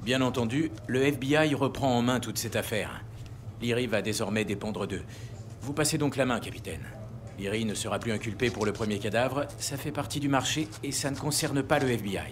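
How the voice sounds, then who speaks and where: An actor playing a composed, courteous justice official in a crime audio drama, speaking in a formal, articulate and measured way. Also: male voice, mid-range voice, slight background noise, lightly acted. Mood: composed, satisfied.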